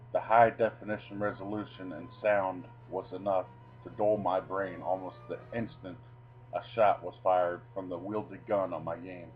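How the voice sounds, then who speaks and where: A man with a low voice delivers his own short-story material in a deliberate, theatrical manner. The sound is dull and lo-fi, with faint guitar music playing behind him. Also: slightly rough. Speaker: video creator reading own short stories. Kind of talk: dramatic reading of a comic short story.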